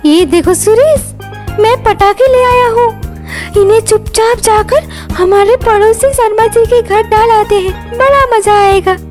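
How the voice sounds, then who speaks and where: A performer voicing a mischievous, excitable young boy in a cartoon story. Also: very high voice, measured pace, soft background music. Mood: gleeful mischief.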